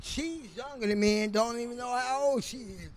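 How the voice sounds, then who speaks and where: Fifties guy, highish voice, slangy teasing banter, crowd loud behind. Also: moderate pace. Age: 50s. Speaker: guest at an outdoor rally. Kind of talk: casual street banter. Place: outdoors among a crowd.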